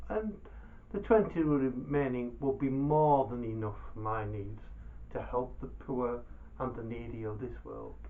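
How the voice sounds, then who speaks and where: A man voicing a humble, venerable old Dervish, mild, measured and pious, in a storybook tale. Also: mid-range voice, narrow, tinny audio, close to an everyday voice. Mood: agreeable.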